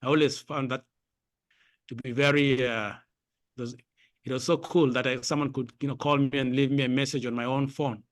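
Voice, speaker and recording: A man who grew up in Kenya, co-hosting a casual podcast and telling stories in an animated, nostalgic way. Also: mid-range voice, normal speed, dry recording, studio quality. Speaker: podcast co-host.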